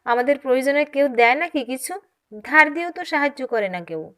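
An angry, worried family man played by a woman narrator in an audio story. The voice is raised and fairly high, scolding and indignant. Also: sing-song, fairly quick, fairly bright tone. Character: angry, worried husband and father, scolding his wife.

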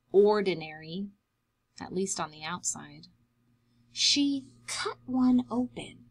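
Young woman, preschool story-time reader. Very lively, swooping intonation, unhurried delivery, simple child-directed explanations. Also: medium pitch. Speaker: preschool teacher reading aloud to children. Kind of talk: expressive children's picture-book read-aloud.

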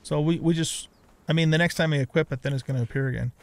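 A man's voice: fairly high pitch, fast. A middle-aged man giving casual gameplay commentary, rambling and thinking aloud as he hosts a let's play.

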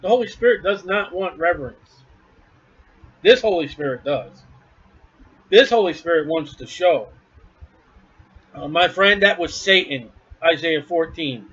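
A male preacher with a high-pitched voice delivers a blunt, scornful sermon from his house. He hammers each point home emphatically. The recording is dull and lo-fi. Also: expressive, measured pace, long pauses, a bit bright, breathy edge.

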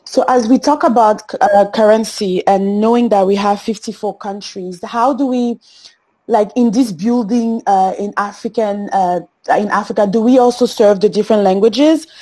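A woman from Cameroon, a native French speaker, moderating an online panel and asking questions in a measured way. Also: medium pitch, mellow.

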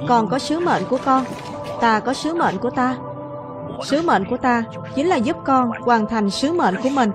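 High-pitched woman dubbing a cartoon. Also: lively intonation, normal speed, music in the background. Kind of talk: dubbing all roles of an animated film in Vietnamese.

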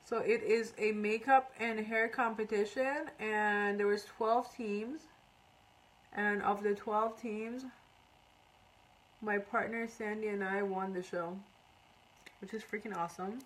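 A woman's voice: mid-range voice, steady tone, slow pace, pauses often, poor audio. A woman in her thirties from Iran, chatting casually on a live makeup tutorial and reading out viewer comments while she works. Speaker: makeup artist. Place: small indoor room before a curtain.

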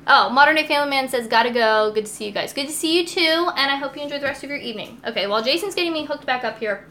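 A woman's voice: sits high, rapid-fire, a bit bright. A young American woman chatting casually and giggly as she hosts a cooking live stream and reads comments aloud. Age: young adult. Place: indoors in a home kitchen. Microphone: phone or tablet, a short distance away. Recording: noisy kitchen live-stream audio.

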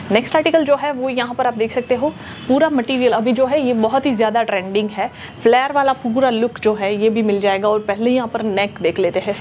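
A young woman presenting clothes in a shop showroom, talking in brisk promotional patter with lots of fillers, on a lapel mic. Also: a little high, slight background noise. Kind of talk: product showcase sales pitch.

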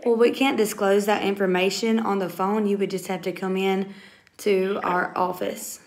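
A woman's voice: medium pitch, level tone, normal speed, a little hiss or hum. A young woman with a Southern US accent talking casually and politely, recorded on a phone at home. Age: young adult. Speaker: family vlogger making a prank call. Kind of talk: casual, polite phone talk and chat to camera. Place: small room at home. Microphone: phone at arm's length.

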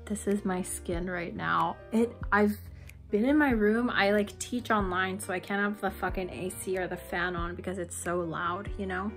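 A young woman chatting casually to her camera in a vlog, candid and quick to swear, sometimes dropping to a whisper. Her voice is wearing thin after a long day, and faint music plays underneath. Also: medium pitch, steady tone, a bit bright. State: losing her voice. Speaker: beauty vlogger.

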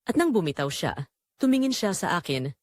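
A woman's voice: lowish voice, sing-song, normal speed, no room sound, studio quality. A woman narrating a scripted first-person dramatic story.

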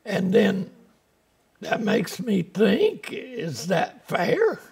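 A man's voice: sits high, very animated, drawn-out words, creaky, a little mellow. An older man preaching a folksy, plainspoken sermon from the pulpit of a small church, speaking through a lapel mic. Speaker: pastor.

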